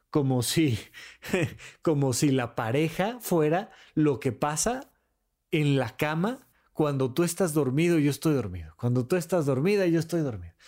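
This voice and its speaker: A man hosting a podcast, talking casually about relationships and acting out bickering couples in quick quoted voices. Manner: animated, swings between high and low pitch. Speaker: podcast host.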